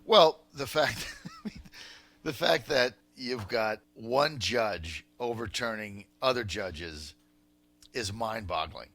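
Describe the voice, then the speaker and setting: An American man hosting political talk radio, with an incredulous, emphatic and halting way of talking. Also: fairly low pitch, expressive, a bit bright. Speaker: radio talk show host.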